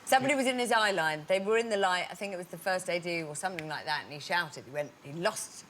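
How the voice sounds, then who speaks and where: A woman's voice: fairly low pitch, fast talker, a bit bright, a little hiss or hum. A woman answering on a comedy quiz panel.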